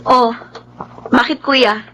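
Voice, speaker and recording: A woman's voice: a little high, fairly even intonation, slow. A woman playing a vain, selfish, blunt younger sister in a Filipino radio drama, sassy and dismissive, mixing in English. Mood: irritated, dismissive.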